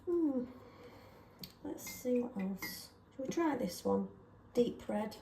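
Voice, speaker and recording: A woman chatting casually and self-deprecatingly while testing paint colours, slightly hoarse from a sore throat. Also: a little high, lively intonation, slow, roomy sound, noisy background. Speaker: craft vlogger.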